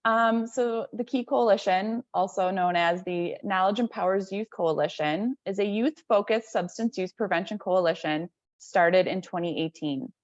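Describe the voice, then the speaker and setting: A woman's voice: mid-range voice, moderate pace, few pauses, no echo. Woman, webinar host, prepared introduction, dry close sound, slightly lo-fi video call. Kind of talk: prepared introduction, partly read aloud.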